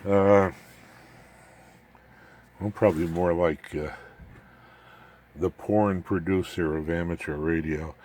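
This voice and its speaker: Very low-voiced man, folksy hobbyist walkthrough. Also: steady tone, takes it slowly, pauses often, darkish tone, slight background noise. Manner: folksy, jokey, rambling. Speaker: amateur radio hobbyist presenting his home-built project. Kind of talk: informal unscripted technical walkthrough.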